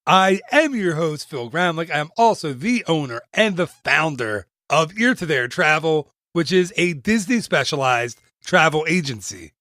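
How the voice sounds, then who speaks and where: A man's voice: a little high, very animated, normal speed, few pauses, bright, forceful tone, no room sound, clean studio sound. A man hosting a travel podcast, reading an upbeat promo in a chatty way with self-deprecating jokes. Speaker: podcast host and travel agent. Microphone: studio mic.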